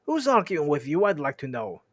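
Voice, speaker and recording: Storybook troll, voiced by a man: male, loud, blunt, stubbornly contradicting, slightly raised pitch. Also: wildly swooping pitch, normal speed, no echo, studio quality, exaggerated voice. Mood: argumentative.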